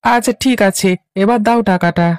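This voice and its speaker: An actor voicing a naive, dithering young village husband who is anxious to please in a cartoon. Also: male voice, high voice, moderate pace, clear, resonant tone, no room sound. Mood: obedient. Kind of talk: Bengali cartoon voice acting.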